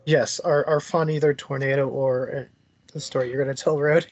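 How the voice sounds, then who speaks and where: A man's voice: mid-range voice, steady tone, a little fast, slight background noise. A man chatting casually as a podcast guest, explaining things in a conversational way. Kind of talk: casual chat, explaining local history.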